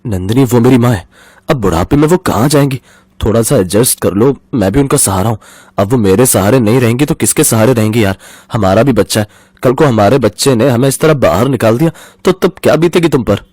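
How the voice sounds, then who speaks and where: A man's voice: medium pitch, fairly quick, darkish tone, no room sound, clean studio sound, close to an everyday voice. A man playing a pleading young husband and devoted son in a cartoon family story.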